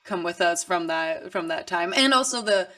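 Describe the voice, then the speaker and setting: A woman's voice: on the low side, crowd noise. A woman in her twenties from Stockholm, Sweden, chatting upbeat and easily as a musician guest in an interview. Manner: upbeat, chatty, quick laughter. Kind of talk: casual interview chat.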